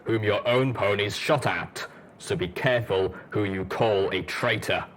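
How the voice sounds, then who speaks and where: A man voicing a stern, two-hundred-year-old ghoul pony veteran, speaking slowly and in warning for a story. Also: lowish voice, fairly bright tone, slight background noise.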